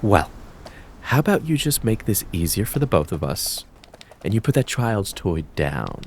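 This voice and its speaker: A man voicing a menacing, mocking kitsune fox spirit in an ASMR roleplay, taunting and theatrical with a drawn-out predatory purr. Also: lowish voice, darkish tone, slight background noise. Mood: taunting menace.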